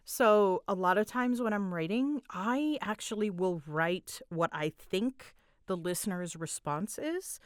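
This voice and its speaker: A young adult woman chatting casually as she answers fan questions, with an animated way of retelling things. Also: mid-range voice, measured pace, clean tone, no echo, studio quality. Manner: chatty, animated retelling with quoted asides and giggles. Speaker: audio roleplay creator answering fan questions. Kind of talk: casual Q&A chat.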